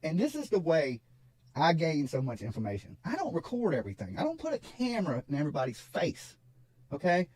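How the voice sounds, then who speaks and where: Animated, husky man talking fast. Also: fairly high pitch, dry recording, poor audio. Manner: insistent, repeats himself, seeks agreement. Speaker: online true crime content creator. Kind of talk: casual livestream talk to audience.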